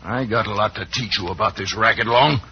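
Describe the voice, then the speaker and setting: An actor playing a cocky, bossy crook who poses as a folksy rancher in an old-time western radio drama. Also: male voice, on the low side, a little fast, natural voice. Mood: cocky, scheming. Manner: folksy, smooth-talking, cocky. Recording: noisy, muffled old radio recording.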